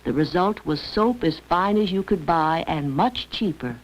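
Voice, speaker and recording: A woman's voice: on the low side, expressive, a little slow. A woman reading scripted narration for an educational film. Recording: dull lo-fi old educational film.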